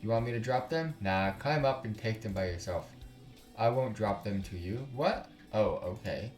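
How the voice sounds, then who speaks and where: A man with a fairly low voice hosts a let's play. He talks in a blunt, casual, slangy way and switches between reading aloud and commenting. The room has a clear echo, with music faintly playing behind him. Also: animated, normal speed, a little mellow. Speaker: game streamer, host.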